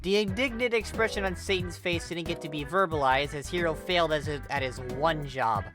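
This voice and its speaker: A woman's voice: low voice, a little fast. A woman narrating fanfiction in a wry, comedic storytelling manner. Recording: music bed under the voice.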